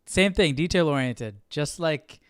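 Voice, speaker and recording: Young guy chatting on a livestream, clean voice, no room sound, thinks out loud and repeats himself. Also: fairly high pitch, animated, a little slow, clean tone, dry recording, studio quality. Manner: thinks aloud, repeats phrases. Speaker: Bible study livestream host. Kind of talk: casual livestream commentary. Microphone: close handheld or desk microphone with headphones.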